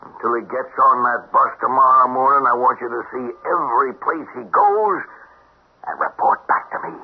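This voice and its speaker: An actor playing a suspicious, obsessed treasure-hunting boatman with an agitated, halting delivery in a 1970s radio drama. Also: medium pitch, breathy edge. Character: suspicious, obsessed male treasure-hunting boat owner, uneasy temper. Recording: muffled old radio broadcast, light hiss.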